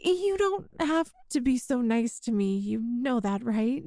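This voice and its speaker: A woman's voice: fairly high pitch, sing-song, unhurried, mellow, dry recording, studio quality. A woman playing a flustered, apologetic, grateful time traveler from the future in a one-sided ASMR roleplay, hesitant and earnest as she talks to the listener. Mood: apologetic, self-blaming. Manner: hesitant, earnest, self-deprecating.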